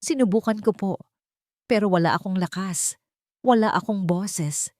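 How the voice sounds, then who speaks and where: A woman's voice: medium pitch, normal speed, darkish tone, no room sound, studio quality, lightly acted. A woman voicing a meek, humble young housemaid in a story, deferential, pleading and heartbroken.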